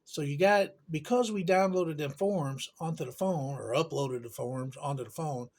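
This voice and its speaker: Folksy man with a slightly high voice. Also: moderate pace, a little mellow. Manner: folksy, informal grammar, rambling. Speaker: tutorial presenter. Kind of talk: casual hands-on tech tutorial.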